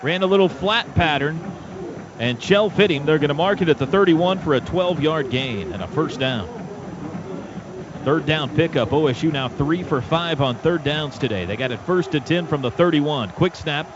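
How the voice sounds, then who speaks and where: High-pitched American football play-by-play man, noisy broadcast. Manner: excitable, clipped rapid-fire calls. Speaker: radio play-by-play announcer. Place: stadium radio booth. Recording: dull lo-fi broadcast with loud stadium noise. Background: loud stadium ambience.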